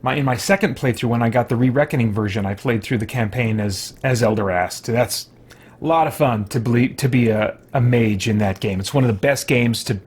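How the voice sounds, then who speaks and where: A man's voice: mid-range voice, brisk, a little mellow, husky. A man hosting a gaming livestream, chatting in a casual, rambling, easygoing way. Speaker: livestream host, gamer. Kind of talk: casual livestream gameplay chat.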